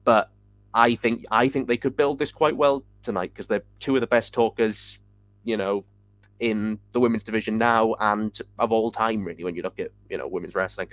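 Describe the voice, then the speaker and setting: A man's voice: fairly low pitch, steady tone, normal speed, fairly bright tone. A man co-hosting a podcast who previews an upcoming show with enthusiasm, hedging his points with "I think.". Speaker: podcast co-host. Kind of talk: casual podcast chat.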